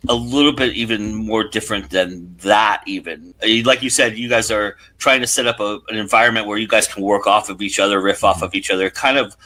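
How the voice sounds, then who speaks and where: A man with a fairly low but bright, sharp-edged voice hosts a casual interview. He phrases his questions at length, thinking aloud as he goes. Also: steady tone, a little fast, few pauses, low-quality recording. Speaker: show host and interviewer.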